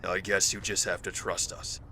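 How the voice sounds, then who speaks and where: Man acting as a deep-voiced, measured health official. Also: flat delivery, background noise. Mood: calm, guarded. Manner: measured, formal, matter-of-fact. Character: calm, guarded United Nations health official in a zombie outbreak. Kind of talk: audio drama acting.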